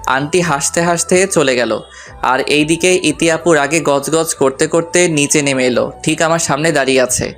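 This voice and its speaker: Higher-voiced man narrating a playful story. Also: normal speed, fairly bright tone, faint music behind. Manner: playful, colloquial. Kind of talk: first-person romantic story narration with acted dialogue.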